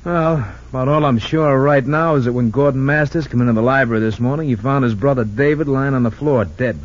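An actor playing a folksy, excitable police lieutenant in an old radio drama. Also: male voice, medium pitch, a little fast, natural voice. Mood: matter-of-fact. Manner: chuckling, exclamatory, folksy. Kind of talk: 1940s-50s radio drama acting. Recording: dull lo-fi old radio recording.

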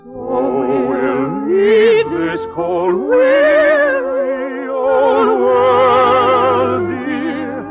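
A woman's voice: high-pitched, wildly swooping pitch, very slow, pauses often. A woman singing operetta in an operatic style on an old radio broadcast. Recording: muffled old radio broadcast, orchestral music.

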